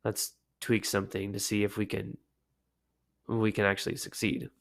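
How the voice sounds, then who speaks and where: A man hosting a casual solo podcast, sick with a lowered, deep voice, talking in a relaxed, rambling way with many fillers. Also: moderate pace, gravelly. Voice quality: deep, low voice. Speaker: podcast host.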